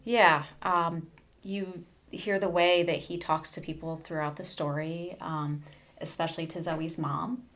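A woman speaking as an educator and guest in a book discussion, explaining things in a measured way. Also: deep voice, fairly even intonation, fairly bright tone.

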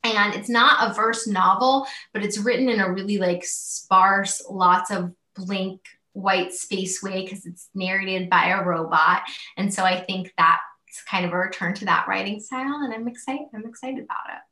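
A woman's voice: mid-range voice, moderate pace, bright, forceful tone. An American woman in her thirties chatting enthusiastically as a guest author in an interview, often saying "like" and "kind of". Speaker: guest author on a book podcast. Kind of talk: casual interview chat. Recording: roomy echo.

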